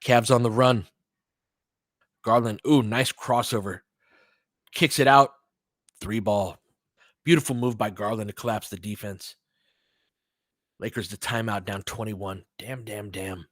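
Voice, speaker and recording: A man's voice: on the low side, fairly even intonation, unhurried, long pauses, no room sound, studio quality. Man calling basketball in clipped, informal phrases. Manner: clipped phrases mixed with conversational fan asides. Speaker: basketball play-by-play commentator and analyst. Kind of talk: informal live play-by-play commentary with coaching analysis.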